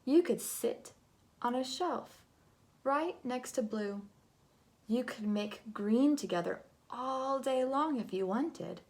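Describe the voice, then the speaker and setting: A woman's voice: fairly high pitch, lively intonation, slow pace, a little mellow, pitched above the performer's own voice. A woman voicing a small, polite girl who loves colors in a storybook. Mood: polite, coaxing.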